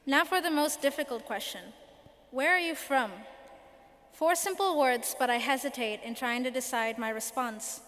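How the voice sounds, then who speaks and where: High-voiced young woman in a reverberant hall. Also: normal speed, fairly bright tone. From Sri Lanka. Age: 20s. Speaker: student speaker. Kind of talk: prepared personal speech.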